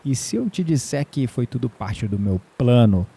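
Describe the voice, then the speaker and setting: Um ator brasileiro interpretando um homem tranquilo, brincalhão e sedutor numa áudio série, em tom de conversa. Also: male voice, medium pitch, very animated, normal speed, vocal fry, warm tone, slightly rough, heavily acted. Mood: playful, teasing. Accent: Brazilian. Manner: teasing, chuckling, conversational. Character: easygoing, flirtatious man, herb grower, playful and warm. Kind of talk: audio drama dialogue.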